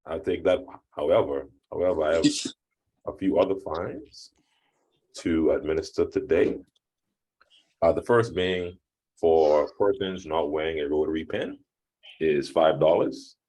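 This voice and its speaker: A man with a very deep, low voice who speaks slowly and unhurriedly. He talks over a video call in an easygoing, casual manner. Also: mellow, poor audio. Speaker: club member giving fines. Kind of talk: casual online meeting talk.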